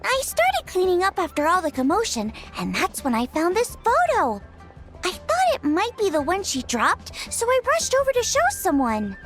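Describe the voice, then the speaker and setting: A woman playing an earnest, chatty teenage girl cook in a game, telling things in a lively way. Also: extremely high pitch, moderate pace, full character voice. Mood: recounting. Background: music.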